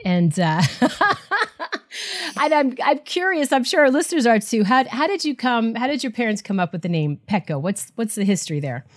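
A woman hosting a podcast, interviewing her new co-host in a lively, laughing manner. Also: a little high, normal speed.

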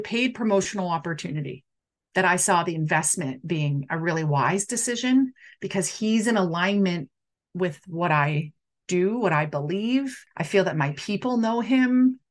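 A middle-aged woman hosting a casual live stream, talking animatedly to viewers and reading out their comments. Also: fairly low pitch.

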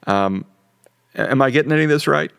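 A man in his fifties, a pastor, talking in a relaxed, joking, self-deprecating way. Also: medium pitch, quick pace, vocal fry, clean tone, no echo, studio quality. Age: 50s. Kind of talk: casual podcast conversation.

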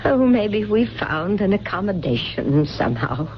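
A woman playing a frail, tender middle-aged mother in 1970s radio drama, speaking in a wistful, halting, genteel way. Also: mid-range voice, very animated. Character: frail, tender mother recovering from a bad back. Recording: dull, noisy old radio broadcast recording.

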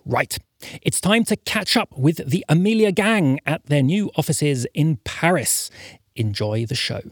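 A man's voice: fairly high pitch, lively intonation, a little slow, no room sound. A man presenting a podcast, reading scripted announcements.

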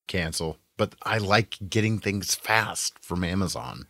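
A low-pitched man with a very lively, swinging delivery co-hosts a casual podcast. He banters and quips freely, and the recording is dry and close. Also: a little slow, dry recording. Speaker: podcast co-host.

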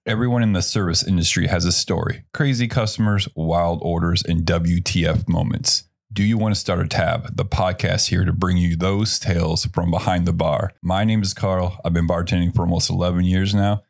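An American man with a raspy voice, casually hosting a podcast as a bartender. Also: sits low, steady tone, moderate pace, hardly any pauses.

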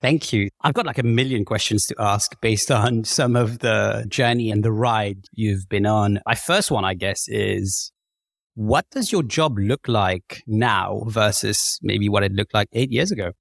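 A man's voice: fairly low pitch, measured pace, vocal fry, dry recording, clean studio sound. A man hosting a podcast, introducing his guest and asking interview questions in a conversational way. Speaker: podcast host.

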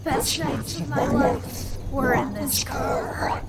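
A woman playing an eerie unseen presence in an audio drama, breathy and whispery. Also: high voice, fairly even intonation, slow. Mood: eerie, languid. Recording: clear background noise.

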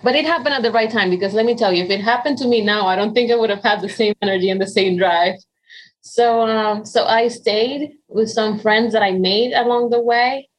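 A woman's voice: on the high side, fairly quick, poor audio. A woman in her forties from the Dominican Republic with a Dominican Spanish accent, telling her story in an interview, earnest and full of ums and restarts. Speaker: interview guest. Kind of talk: conversational interview.

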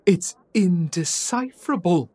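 An excitable Victorian amateur detective in a comedy radio play. He is pompous and theatrical, with a high-pitched voice and very animated delivery. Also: measured pace. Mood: excited. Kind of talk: audio fiction comedy acting.

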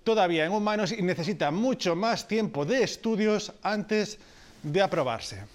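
A man's voice: sits high, expressive, moderate pace. A man anchoring a news show, presenting stories and handing over to colleagues. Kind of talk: news presenting with co-host banter.

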